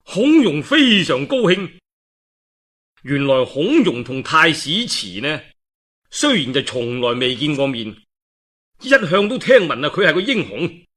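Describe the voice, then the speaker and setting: Male storyteller, Cantonese accent, lively swooping pitch, husky edge, dramatic traditional narration, colloquial asides. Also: measured pace. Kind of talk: animated traditional storytelling of a historical novel.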